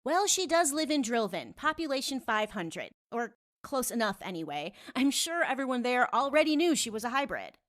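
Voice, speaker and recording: A woman's voice: fairly high pitch, big pitch swings, moderate pace, hardly any pauses, fairly bright tone, dry recording, clean studio sound. A woman acting a blunt, gossipy cafe customer in a fantasy audio drama. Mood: chatty. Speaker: voice actor in a fiction podcast.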